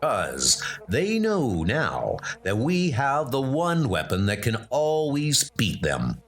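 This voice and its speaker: A man's voice: medium pitch, huge pitch swings, slow, exaggerated voice. A man reading a determined armored knight. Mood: earnest. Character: brave adult male armored knight, determined. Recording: music playing underneath.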